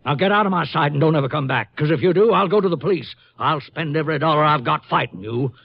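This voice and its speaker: Husky, impassioned, defiant man, old radio. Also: on the high side, quick pace. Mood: defiant, indignant. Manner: impassioned, emphatic, righteous. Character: desperate, defiant male car dealer being blackmailed. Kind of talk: 1950s radio melodrama acting. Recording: dull old radio recording.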